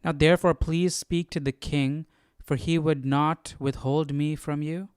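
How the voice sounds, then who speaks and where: A man's voice: a little high, flat delivery, a little slow, clear, resonant tone, no room sound, studio quality. A man hosting an informal Bible study, reading aloud and puzzling through the text hesitantly as he comments. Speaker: host of a live Bible study.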